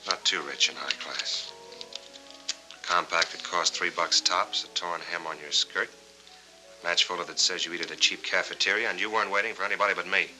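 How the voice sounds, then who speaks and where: An actor playing a hard-boiled, suspicious war veteran in a 1940s film noir, blunt, clipped and sardonic. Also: male voice, low voice, a little fast, music in the background, natural voice, poor audio. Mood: sardonic, accusing. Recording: vintage 1940s film soundtrack.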